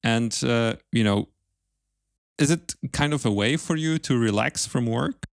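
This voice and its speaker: A man's voice: medium pitch, unhurried, vocal fry, dry recording, studio quality. A man hosting an interview, asking casual questions in a polite, appreciative way. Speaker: podcast host, interviewer.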